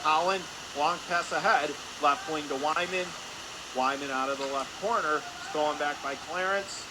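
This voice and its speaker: A man's voice: a little high, normal speed. A man doing live radio play-by-play commentary of an ice hockey game, in clipped running phrases. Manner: clipped running phrases, calling action as it happens. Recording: clear steady arena noise behind voice. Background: hockey arena ambience.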